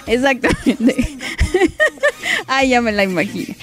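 A woman's voice: sits high, wildly swooping pitch, slow pace. A woman with a Mexican accent hosting a live-stream show in casual chat, playful and joking, often breaking into laughter. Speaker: host.

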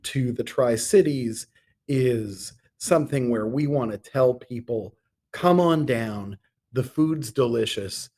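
Middle-aged man, measured interview guest. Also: medium pitch, a little mellow, husky. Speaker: podcast guest, theatre artistic director. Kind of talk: conversational interview talk.

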